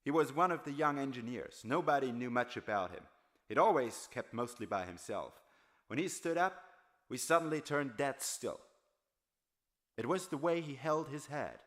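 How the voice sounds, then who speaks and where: A weary, haunted drifter who once worked in a factory, played by a male audiobook reader. He recounts the past in a halting, solemn, reflective way, as a confession at a medium pitch. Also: expressive, a bit bright, close to an everyday voice. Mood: tense, remembering. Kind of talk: dramatized audiobook monologue.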